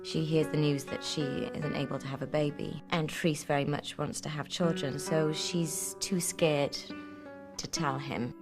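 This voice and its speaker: Woman, actor, low voice, thoughtful, halting, guitar music underneath. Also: fairly even intonation. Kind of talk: documentary interview.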